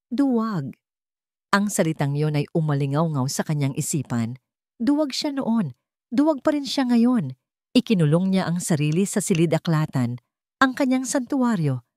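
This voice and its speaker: Female Filipino narrator for dramatic fiction, deliberate delivery, lively melodic range, close dry sound. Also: fairly low pitch, no echo. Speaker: story narrator. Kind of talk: dramatic scripted narration of a Tagalog romance drama.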